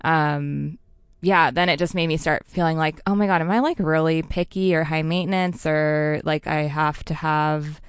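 Low, crystal-clear rambling woman. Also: fairly even intonation, normal speed, dry recording, studio quality. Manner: rambling, many likes and ums. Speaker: astrologer guest. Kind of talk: casual interview chat.

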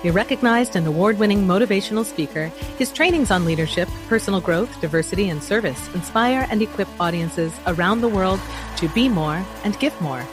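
A woman hosting a podcast who reads a guest introduction and then asks gently curious questions, affirming the guest and hesitating with "um" before asking. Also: medium pitch, animated, a little fast, music in the background, low-quality recording. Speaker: podcast host.

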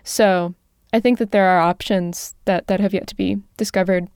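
Recorded dry and close, a woman speaks in a smooth, clear voice. She talks reflectively as a podcast co-host. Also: mid-range voice, steady tone, moderate pace, a little mellow, no echo. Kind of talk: reflective discussion.

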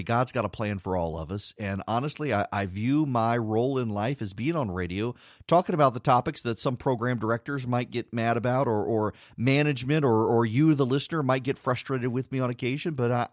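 A man's voice: medium pitch, brisk, dry recording, clean studio sound. A man who hosts a radio show, talking about his faith in a reflective, self-deprecating way and often repeating words as he goes. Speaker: radio host. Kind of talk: reflective personal talk on faith.

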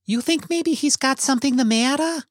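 A man voicing a sharp-tongued, indignant old immigrant wife in a Yiddish-inflected American accent, full of querulous questions, for a story reading. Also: extremely high pitch, normal speed, dry recording, studio quality, pitched above the performer's own voice. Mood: nettled. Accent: Yiddish-inflected American English.

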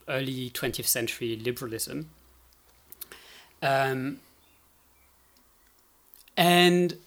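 A man's voice: a little high, pushed and bright. A man speaking as an expert guest in a conversational interview, thinking aloud and often checking with "right?". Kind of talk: conversational explanation in a podcast interview.

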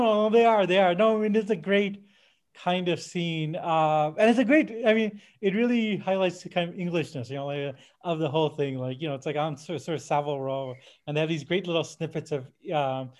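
A man casually retelling anecdotes in a hesitant, fragmented way, often searching for his words. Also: a little high. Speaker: podcast guest or co-host discussing a film.

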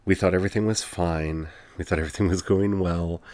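A podcast host with a very deep voice, speaking earnestly and from the heart in a reflective solo monologue, often pausing on "you know". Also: steady tone, darkish tone, no echo.

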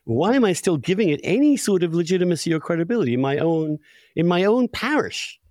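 A man's voice: sits high, very animated, quick pace, darkish tone, dry recording, studio quality. A man speaking emphatically and with strong opinions in a conversational interview, as a podcast host.

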